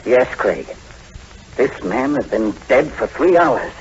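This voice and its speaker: An actor playing a nervous, skeptical doctor in a 1940s radio drama, with anxious, excitable questioning. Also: male voice, medium pitch, slow pace. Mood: disbelieving. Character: nervous skeptical male doctor on an eerie island. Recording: muffled old radio drama, faint noise.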